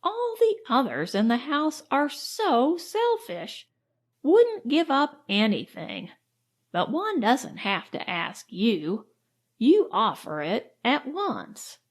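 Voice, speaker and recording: Woman voicing a genteel, high-pitched, self-satisfied landlady. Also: very animated, slow, exaggerated voice. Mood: gushing, agreeable. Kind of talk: audiobook character voice.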